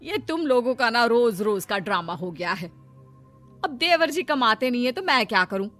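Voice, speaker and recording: A woman voicing a rich, haughty sister-in-law who scolds and berates in an animated moral story. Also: fairly high pitch, normal speed, soft background music. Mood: scornful, impatient.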